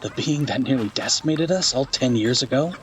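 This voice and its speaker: A man's voice: medium pitch, a little fast, far from the mic, slight background noise, close to an everyday voice. A man playing a curious, eager listener in a fantasy audio drama, asking questions conversationally. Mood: intrigued. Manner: inquisitive, conversational.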